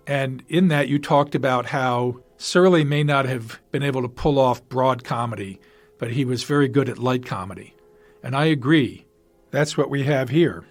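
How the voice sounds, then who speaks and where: A man giving prepared spoken commentary on television episodes, as a listener sending in his opinions. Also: medium pitch.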